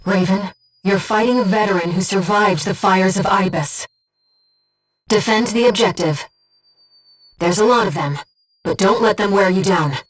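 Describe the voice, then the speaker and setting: Earnest, husky female game guide, acted by a woman. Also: mid-range voice, moderate pace, long pauses, recorded from a distance, lightly acted, poor audio. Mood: focused briefing. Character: soft-spoken, earnest female voice in a pilot's head, loyal guide. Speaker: mission support guide in a mecha video game. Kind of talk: scripted video game voice acting, tactical callouts.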